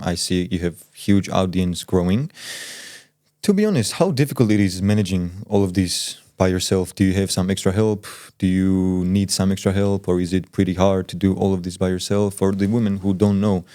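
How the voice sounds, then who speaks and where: A man with a deep, somewhat mellow voice interviews casually in a dry, close-sounding recording. He hedges and takes his time getting to the point, putting the same question several ways. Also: dry recording. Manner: wordy, hedging, rephrases his questions. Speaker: interviewer, podcast co-host.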